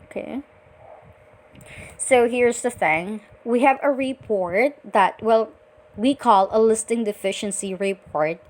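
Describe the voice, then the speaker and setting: A woman from the Philippines with a Filipino accent, speaking politely and deliberately as a virtual assistant on a scripted sales call. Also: fairly high pitch, darkish tone, slight background noise. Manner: polite, deliberate, frequent acknowledging murmurs.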